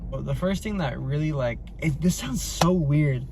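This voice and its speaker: A man's voice: on the high side, moderate pace, creaky. Laid-back young man, casual slang. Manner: relaxed, casual slang like "bro". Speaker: co-host answering Q&A. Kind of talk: casual couple chat. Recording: noisy background hum.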